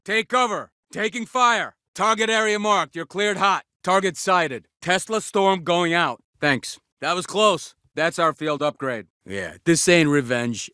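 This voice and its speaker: An actor playing a tough, battle-ready special forces soldier in a military shooter game, giving terse, commanding callouts. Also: male voice, fairly high pitch, sharp tone, no room sound, clean studio sound. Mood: brisk, alert, then grim, confident.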